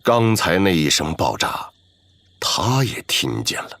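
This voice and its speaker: Slow, highly animated male narrator. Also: creaky, dry recording. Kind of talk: scripted dramatic narration.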